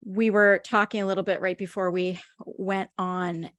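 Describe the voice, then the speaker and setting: A woman's voice: medium pitch, flat delivery, moderate pace, a bit bright, dry recording, clean studio sound. A woman hosting a podcast, speaking earnestly and effusively, lingering on words of praise as she introduces her guest. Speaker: podcast host. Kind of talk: heartfelt interview introduction.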